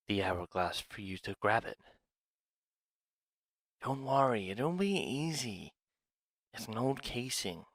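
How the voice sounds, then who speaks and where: A man playing a sly, scheming dragon in an ASMR roleplay, speaking in a hushed, deliberate, confiding manner. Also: on the low side, gravelly, no room sound, heavily acted. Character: sly, scheming male dragon plotting a museum heist. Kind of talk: ASMR roleplay audio drama, one side of a dialogue.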